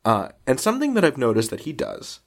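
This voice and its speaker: A man speaks as a podcast host in a conversational interview style. His voice sits at an average pitch and moves up and down with a lot of animation. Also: huge pitch swings, a little fast, gravelly.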